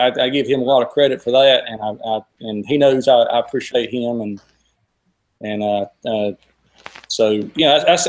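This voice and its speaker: A man's voice: medium pitch, rough recording. A man in his forties with a Southern US accent, hosting a live stream in a casual, rambling way that circles back over his words. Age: 40s. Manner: rambling, repeats himself. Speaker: host of a fishing live stream.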